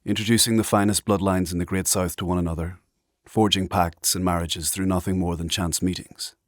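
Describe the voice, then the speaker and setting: An actor playing a solemn monk who narrates his order's past in a measured, formal, reflective way for an audio drama. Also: low voice, fairly even intonation, dry recording, clean studio sound, lightly acted.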